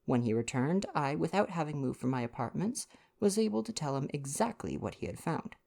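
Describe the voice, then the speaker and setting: Guy doing a fussy vain belgian detective, proud n theatrical, bit of gravel, storybook reading. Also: on the high side, sing-song, brisk, a little mellow, pitched above the performer's own voice, heavily acted. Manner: pompous, emphatic, formal phrasing.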